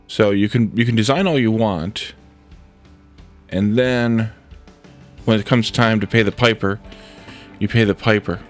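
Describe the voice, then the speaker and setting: A man with a somewhat low voice and a stuffy, congested sound, talking through a factory game as he builds. He thinks aloud and mutters to himself in an easy, casual way, while faint game music plays underneath. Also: measured pace, long pauses. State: congested from a head cold. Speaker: streamer. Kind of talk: casual let's-play commentary.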